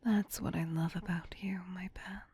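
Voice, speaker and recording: A woman voicing a doting, possessive vampire queen in a breathy whisper, coaxing slowly and tenderly for an ASMR roleplay. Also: lowish voice, fairly even intonation, warm tone, a little hiss or hum, lightly acted. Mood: tender, protective. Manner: slow, tender, coaxing endearments. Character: doting, possessive vampire queen soothing her human pet.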